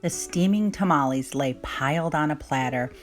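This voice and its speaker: A woman narrating a children's storybook aloud in an expressive, measured way. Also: low voice. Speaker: children's book reader. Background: plucked guitar music.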